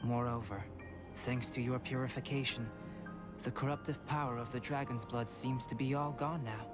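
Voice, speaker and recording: An actor playing a calm, aloof young male alchemist in a video game, speaking in a measured, formal and reserved manner. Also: mid-range voice, close to an everyday voice. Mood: calm, analytical. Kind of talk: scripted video game dialogue. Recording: background music under the voice.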